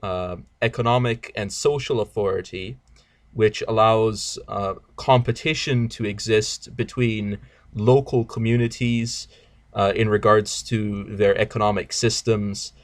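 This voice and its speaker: A man from Germany who speaks English as a non-native language, calmly explaining political ideas in a casual chat and pausing often. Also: fairly low pitch, no echo. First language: not English. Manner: earnest, explains at length with hesitations.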